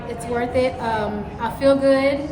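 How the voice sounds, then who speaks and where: High-voiced playful woman, echoey room. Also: fairly even intonation, loud music behind the voice. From Kentucky, USA. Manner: playful, laughs often, drawn-out phrases. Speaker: co-host, model. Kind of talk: casual chat. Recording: echoey room with loud background hiss.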